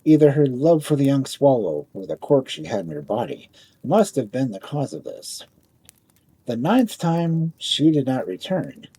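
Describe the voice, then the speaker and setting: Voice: medium pitch, very animated, measured pace, dark tone, husky. A storyteller reading a scripted fairy tale as narration.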